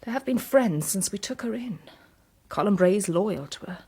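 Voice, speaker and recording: An actress playing a troubled, anxious wife in a breathy, hushed voice in a radio drama. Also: female voice, medium pitch, lively intonation, normal speed.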